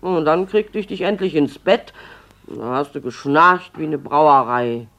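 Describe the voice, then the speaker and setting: A woman's voice: deep voice, measured pace, a bit bright. An actress in a radio play voicing a merry, affectionate wife who fondly teases her drunkard husband while telling a tale. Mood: teasing, relishing. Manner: chuckling, affectionate storytelling.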